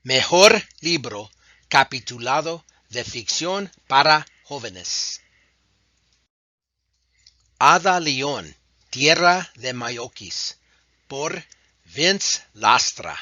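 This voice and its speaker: The voice comes from a man announcing awards, reading each title slowly and deliberately in a bright, clear tone. His recording is dull and lo-fi, with a dry, close feel and no room around it. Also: medium pitch, animated, dry recording. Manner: slow, measured announcing.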